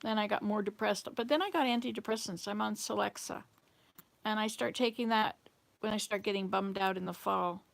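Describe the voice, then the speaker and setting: Creaky-voiced Southern US woman chatting casually. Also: medium pitch, a little fast. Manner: rambling, jumps between thoughts. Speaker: livestream host. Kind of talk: casual chat, answering viewer comments.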